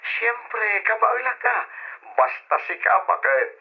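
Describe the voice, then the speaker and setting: An actor playing a cheerful young man in an old Ilocano radio drama, animated and full of exclamations. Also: sits high, slow pace, husky, heavily acted. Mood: flustered, eager. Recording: dull lo-fi old radio recording.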